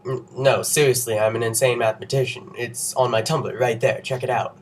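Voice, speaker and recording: A man's voice: medium pitch, fairly even intonation, a little fast, a little hiss or hum. A young man with a cold and a congested voice, giving an informal science explainer with wry, nerdy, self-joking asides. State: under the weather with a cold. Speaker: presenter of an educational video.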